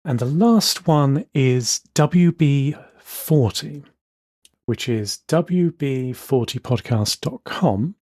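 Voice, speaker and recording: A man's voice: mid-range voice, breathy edge, no room sound. Dry-sounding man, casual podcast co-host. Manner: enthusiastic, explanatory, quick asides. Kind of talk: casual chat.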